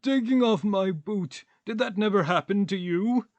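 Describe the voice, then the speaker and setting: A man acts out a gloomy, long-suffering male vagrant in a radio play. The voice is pitched up and querulous, with curt, irritable complaints. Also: moderate pace, darkish tone, dry recording, close to an everyday voice. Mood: gloomy, annoyed. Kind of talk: theatrical radio play acting.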